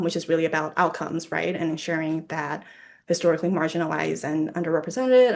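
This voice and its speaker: A woman's voice: deep voice, gravelly. A young woman from Ohio with an American accent, reflecting informally on personal experience as a panelist, often saying "like". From Ohio, United States. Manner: informal, frequent "like" as a filler.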